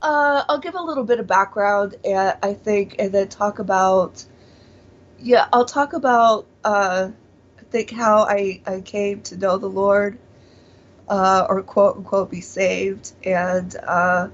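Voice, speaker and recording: Forties woman guest, slow and halting, lots of restarts, muffled lo-fi sound. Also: mid-range voice, fairly even intonation. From born in Taiwan, raised in Pennsylvania. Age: 40s. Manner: hesitant, many fillers and restarts. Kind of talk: casual interview, personal testimony. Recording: dull lo-fi audio.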